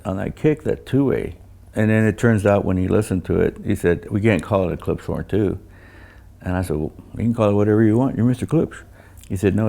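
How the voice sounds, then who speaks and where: An older man who designs loudspeakers, talking casually about technical matters and often repeating words as he recounts things. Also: fairly low pitch, gravelly. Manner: halting, repeats words, anecdotal.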